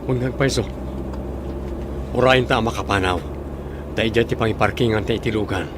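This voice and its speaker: A man's voice: lowish voice, normal speed, lightly acted. A man playing a boss at the wheel in a radio drama. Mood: matter-of-fact. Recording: loud car and traffic noise.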